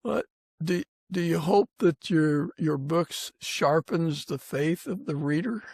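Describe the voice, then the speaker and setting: Male podcast interviewer, slightly high and creaky, conversational, recorded dry. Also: slow pace, a little mellow, no echo.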